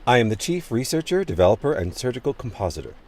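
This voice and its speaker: A man playing a smooth, evasive chief researcher in a sci-fi audio drama interview, formal and technical in his wording. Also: medium pitch, big pitch swings, fast, darkish tone. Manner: formal, technical, self-assured.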